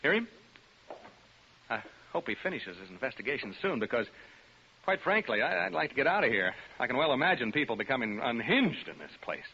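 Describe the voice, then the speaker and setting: A jittery 1940s radio DJ, swooping pitch. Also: male voice, very animated, a little fast, bright, forceful tone. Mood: jumpy. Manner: nervous, stammering, chatty asides to listeners. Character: jittery skeptical radio DJ alone in a haunted house. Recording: noisy, dull 1940s radio recording.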